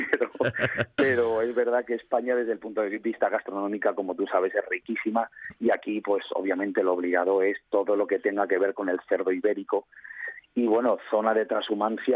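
A man's voice: fairly low pitch, steady tone, brisk, husky, no room sound. A man, a tour guide explaining in detail over the phone as an interview guest. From Cáceres, Spain. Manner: detailed, explanatory, with frequent fillers. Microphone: telephone. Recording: tinny phone line.

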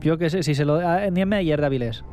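A high-voiced man banters casually. He sounds like a comedian guest on a radio quiz, with faint music underneath. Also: fairly quick, a little mellow.

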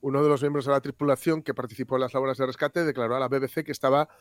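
A man's voice: medium pitch, little pitch movement, rapid-fire. A man reading news on the radio in a casual, chatty way, laughing often and tagging his remarks with questions.

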